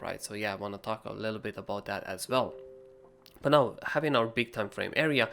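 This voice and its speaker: A young man with a raspy voice from being sick, casually walking through a trading chart analysis and often checking in with "right?". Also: medium pitch, fairly bright tone. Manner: casual, frequent "right?" tags and fillers. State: sick with a cold.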